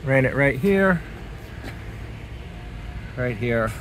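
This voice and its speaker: A man talks through a hands-on demonstration in a casual, unscripted way. His phrases come short and halting as he works. He is recorded outdoors on a handheld phone, with some light background noise. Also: mid-range voice, expressive, fairly bright tone. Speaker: hobbyist demonstrating an antenna installation. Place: outdoors beside a car in a residential driveway. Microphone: handheld phone filming the car.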